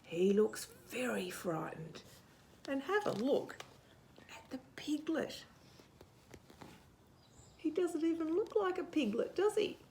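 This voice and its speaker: A woman hosts a storytime for small children, taking her time with a very animated, swooping delivery. Her voice has a creaky edge and often drops to hushed asides as she asks the listener questions. There is some noise in the background. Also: sits high. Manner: animated, expressive, asks questions and pauses for answers. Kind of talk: read-aloud picture-book storytelling with chatty asides to young children.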